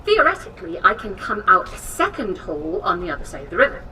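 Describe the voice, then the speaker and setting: An actress playing an eccentric, overconfident English woman inventor in a game cutscene, theatrical, brisk and condescending. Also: mid-range voice, wildly swooping pitch, pushed and bright. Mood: flustered, then confident. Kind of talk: video game cutscene acting. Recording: distant from mic, faint noise.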